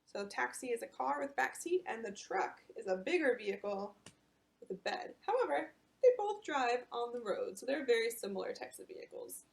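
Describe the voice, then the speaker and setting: A woman librarian reading a picture book aloud at bedtime storytime and explaining it to children in an animated way. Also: on the high side, moderate pace, creaky.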